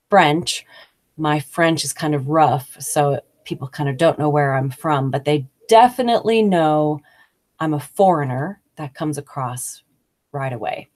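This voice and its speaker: An American woman hosting a casual, conversational talk about business English, thinking aloud with occasional fillers. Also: sits low. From United States. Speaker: host.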